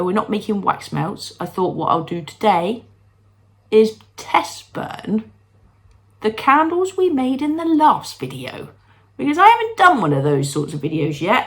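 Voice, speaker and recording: A middle-aged woman with a stuffy, congested voice from a cold, chatting cheerfully to viewers in a home vlog. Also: mid-range voice. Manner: bubbly, self-deprecating, talks to viewers. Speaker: candle-making vlogger. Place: at home, moving between rooms.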